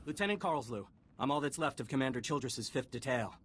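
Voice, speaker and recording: An actor playing a harried army lieutenant in the field in a video game, brisk and urgent. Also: male voice, medium pitch, lively intonation, slight background noise, close to an everyday voice. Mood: urgent, relieved. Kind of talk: video game dialogue acting.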